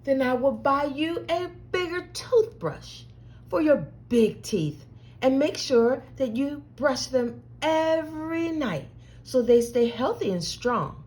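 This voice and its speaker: Tender, patient storybook mama, voiced by a woman, high-pitched. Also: slow pace. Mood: caring. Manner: tender, soothing, expressive. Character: loving, patient, reassuring storybook mother.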